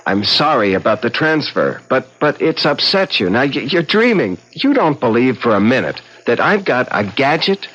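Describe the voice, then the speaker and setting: A man's voice: mid-range voice, very animated, normal speed, few pauses, a bit bright, highs cut off. An actor playing an earnest, evasive man who reasons and protests in a placating way in a seventies radio drama. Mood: reasoning, protesting. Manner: earnest, placating, hesitant under pressure. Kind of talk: 1970s radio drama acting. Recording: hissy 1970s radio drama recording.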